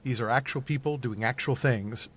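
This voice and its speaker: A man answering as a podcast guest in a measured, explanatory way, repeating phrases for emphasis. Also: mid-range voice, fairly bright tone, breathy edge. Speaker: podcast guest, audio drama actor and producer. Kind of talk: conversational interview answer.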